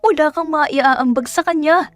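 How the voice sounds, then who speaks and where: A woman voicing a cruel middle-aged provincial mother in an audio drama, shouting scornful, scolding questions at her daughter. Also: sits high, measured pace, dry recording, soft background music, pitched above the performer's own voice. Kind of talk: Tagalog audio drama acting.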